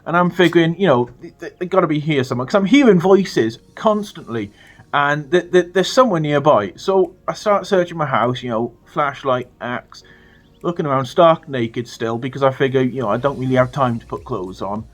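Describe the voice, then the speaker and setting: A British man telling a funny story to his viewers in a casual, animated way, swearing freely. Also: a little high, moderate pace. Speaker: storytelling vlogger.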